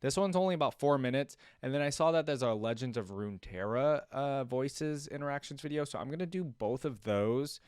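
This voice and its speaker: A man's voice: medium pitch, animated, a little fast, hardly any pauses, a little mellow, smooth, no echo, clean studio sound. A man giving casual, informal reaction commentary as a streamer, thinking aloud as he goes. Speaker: streamer reacting to game videos.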